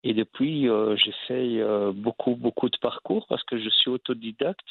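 A man from Belgium speaks in a gravelly, fry-laden voice, pausing often with fillers and restarts as he tells his story. The sound is dry and tinny, like a phone line, with no room around it. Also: mid-range voice, no echo. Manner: hesitant, many fillers and restarts. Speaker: actor, guest. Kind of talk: casual interview.

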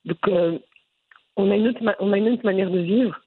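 A woman from Brussels with a Brussels French accent, answering interview questions in an animated, frank way with frequent restarts. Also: mid-range voice, moderate pace, breathy edge. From Brussels, Belgium. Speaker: content creator guest. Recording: phone-line audio.

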